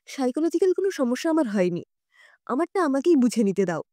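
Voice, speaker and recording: A woman's voice: high-pitched, big pitch swings, fast talker, warm tone, no echo, clean studio sound. A woman voicing a troubled, stubborn young college woman, earnest and guarded, in an audio story. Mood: insistent, defensive.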